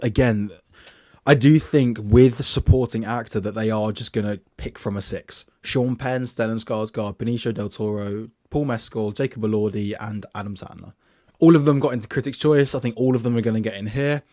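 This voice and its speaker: A man's voice: lowish voice, moderate pace, mellow, dry recording, studio quality. A young man casually talking through his film award predictions, chatty and loose, with plenty of restarts, reading from his notes. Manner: reads his list off notes.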